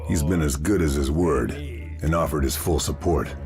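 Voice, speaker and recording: An actor playing a terse, deadpan American military sniper in a video game. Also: male voice, extremely low pitch, moderate pace. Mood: grave briefing. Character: stoic, hard-nosed male military sniper and commando. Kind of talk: video game cutscene acting and briefing narration. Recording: dramatic music bed behind.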